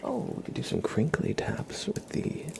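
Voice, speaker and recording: An ASMR livestream host whispering casually to viewers in a soft-spoken, unhurried way. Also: medium pitch, huge pitch swings, gravelly, very noisy.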